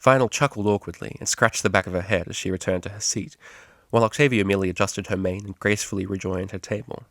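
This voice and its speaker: A man's voice: fairly low pitch, steady tone, quick pace, a bit bright, dry recording, clean studio sound. A man reading a scripted story aloud as an amateur narrator. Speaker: amateur fan-fiction reader.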